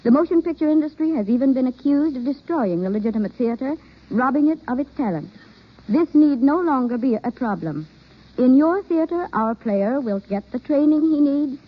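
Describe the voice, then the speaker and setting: A woman reading a formal, deliberate scripted announcement in the style of 1930s radio. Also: high-pitched. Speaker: guest announcer. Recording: dull, lo-fi old radio broadcast.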